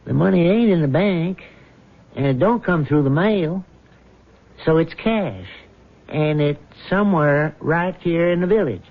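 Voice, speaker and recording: An actor playing a sly, conniving small-town crook in a radio drama, with slangy, insinuating talk. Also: male voice, a little high, lively intonation, unhurried, phone-quality sound. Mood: scheming. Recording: thin band-limited old radio recording.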